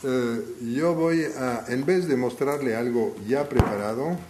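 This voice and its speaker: A man lectures to a room in a language that is not his first, pausing and restarting his phrases as he works out each thought. His pitch sits in an ordinary middle range, and a faint hum of noise runs behind him. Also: darkish tone. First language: not Spanish. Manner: halting, full of restarts while thinking aloud. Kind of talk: live lecture to an audience.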